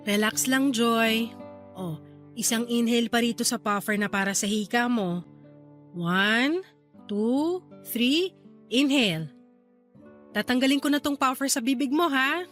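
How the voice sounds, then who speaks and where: An actress playing a caring, playful aunt raising her niece, in an affectionate, expressive Tagalog radio drama style. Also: a little high, measured pace, pauses often, faint music behind. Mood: soothing.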